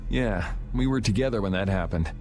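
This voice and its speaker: A man's voice: fairly low pitch, fairly quick, darkish tone, faint music behind, close to an everyday voice. A man playing a laid-back, sardonic young swordsman in a video game, with a dry, casual delivery. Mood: unbothered. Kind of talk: video game dub acting.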